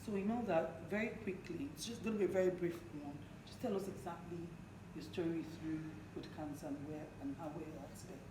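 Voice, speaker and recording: A hoarse woman from Nigeria, hosting a talk show in a conversational interview style. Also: on the low side, quick pace. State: hoarse, partly lost voice. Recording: echoey room, clearly noisy background.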